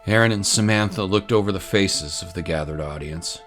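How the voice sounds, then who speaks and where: A man's voice: low voice, moderate pace, a bit bright. A man narrating scripted dramatic fiction as a storyteller. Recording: music bed under the voice. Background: music.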